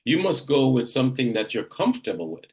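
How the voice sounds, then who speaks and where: A man from Jamaica runs a group coaching session for real estate agents. He is direct and emphatic and often repeats a point twice. His voice sits at an ordinary middle pitch. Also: big pitch swings, fast talker.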